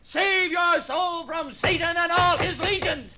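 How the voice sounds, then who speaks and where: Male pulpit-pounding revivalist: extremely high, impassioned declamation, loud music, vintage radio record. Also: a little slow. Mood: fervent. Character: fiery pulpit-pounding frontier revival preacher. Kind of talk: 1950s radio drama acting.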